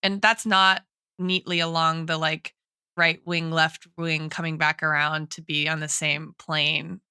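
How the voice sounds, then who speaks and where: A woman's voice: deep voice, little pitch movement, a little slow, bright, forceful tone, clear, resonant tone, no room sound, studio quality. A woman co-hosting a podcast, reasoning aloud in a conversational, academic way and often phrasing her points tentatively. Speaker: podcast co-host. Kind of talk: analytical conversational discussion.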